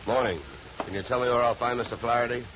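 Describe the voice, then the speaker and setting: An actor playing a wry, hard-boiled insurance investigator in a 1950s American radio drama, narrating and talking in a dry, clipped, wisecracking way. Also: male voice, mid-range voice, fairly even intonation, fast, background noise, close to an everyday voice. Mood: brisk, dry. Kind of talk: 1950s radio detective drama, first-person narration and dialogue. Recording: dull, lo-fi 1950s radio recording.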